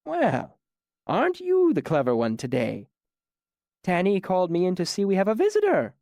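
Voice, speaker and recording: Old caretaker, weary yet patient and soothing, lifted high, dry close sound, voiced by a man. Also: wildly swooping pitch, normal speed, dry recording, heavily acted. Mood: gentle. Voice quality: gentle, patient, tired at the edges.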